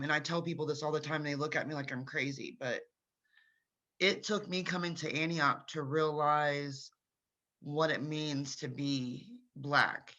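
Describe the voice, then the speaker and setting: A man's voice: a little high, sharp tone, highs cut off. A young man from Ohio interviewing a guest, talking earnestly and casually with plenty of "like". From Gallipolis, Ohio, United States. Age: young adult. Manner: earnest, conversational, filler "like".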